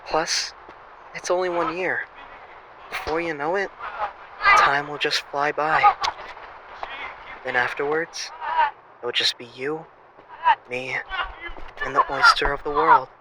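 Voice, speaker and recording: A young man playing a flippant, self-absorbed exchange student, evasive and excitable, in an audio drama phone call. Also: on the high side, slow, vocal fry, long pauses, full character voice. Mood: earnest, persuasive. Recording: noisy phone-call style recording.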